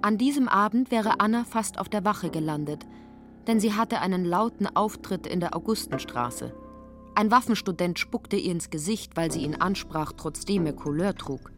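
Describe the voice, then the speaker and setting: Low-pitched female narrator over music. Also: animated, normal speed. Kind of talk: literary radio drama narration.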